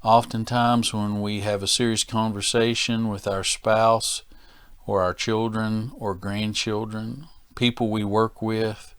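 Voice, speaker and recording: Low-voiced man giving safety training, dry sound. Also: little pitch movement, slow pace, clear, resonant tone, no room sound. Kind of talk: instructional presentation to workers.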